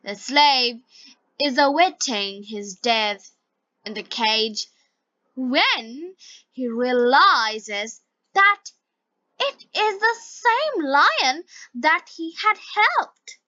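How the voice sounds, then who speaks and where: A woman with a Bengali accent teaches in a scripted, story-reading style at an extremely slow pace. Her voice is high and bright, and she pronounces each short phrase carefully. The recording is dry, dull and lo-fi. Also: lively intonation, no room sound. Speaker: English teacher.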